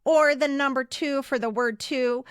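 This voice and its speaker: A woman's voice: sits high, fairly even intonation, unhurried, smooth, dry recording. Woman, 50s, podcast co-host, raised register, casual chat, very dry recording. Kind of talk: casual conversational podcast talk.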